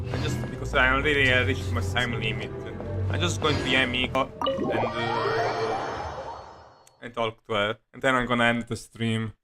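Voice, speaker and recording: A man giving casual live commentary on a video game he streams, speaking in a halting, hesitant way. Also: mid-range voice. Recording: game music behind the voice. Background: video game music.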